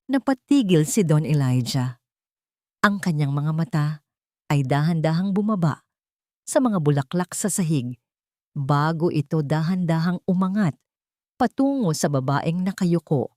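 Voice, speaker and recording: A woman narrating a scripted dramatic story in a solemn, deliberate, melodramatic way. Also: deep voice, darkish tone, no echo, studio quality.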